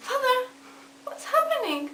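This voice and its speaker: A woman's voice: very high voice, a bit bright, exaggerated voice. A woman voicing a curious young child talking to a father in an amateur acted skit. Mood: puzzled, curious. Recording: roomy, noisy, clicky amateur recording.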